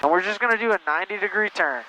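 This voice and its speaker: A man's voice: high-pitched, very fast. A man working as a flight instructor, giving patient, encouraging step-by-step coaching and repeating his instructions over a cockpit headset. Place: small airplane cockpit in flight. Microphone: aviation headset. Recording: muffled intercom audio, steady engine noise.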